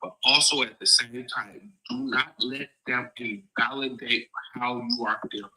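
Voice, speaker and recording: A man's voice: mid-range voice, steady tone, a little slow, pushed and bright, breathy edge, poor audio. A man speaking as a guest on a live panel, earnest and preachy, talking straight to the listeners. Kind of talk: casual live panel discussion.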